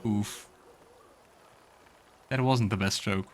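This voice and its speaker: A man's voice: lowish voice, fairly even intonation, takes it slowly. A man hosting a let's play, commenting casually on the game, muttering reactions and reading game text aloud. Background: faint game music.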